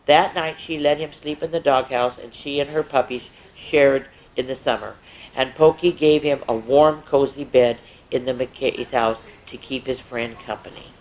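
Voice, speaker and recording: Expressive woman reading a children's story. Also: very deep voice, normal speed, low-quality recording. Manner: expressive, with asides to young listeners. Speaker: children's story time host. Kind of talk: animated read-aloud storytelling for young children.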